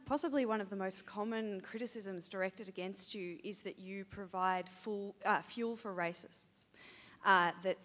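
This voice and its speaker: A woman asks a formal question from the audience in articulate phrases. Her voice is a little low and echoes through a large hall. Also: a bit bright. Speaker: audience member asking a question. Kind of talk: prepared question at a public talk. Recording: reverberant hall audience microphone.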